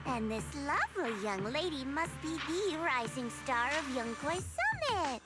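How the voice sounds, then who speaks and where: An actress voicing a bubbly, gushing, chatty young female officer in a video game, full of flattery. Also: sits high, a little slow. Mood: bubbly, flattering. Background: game music.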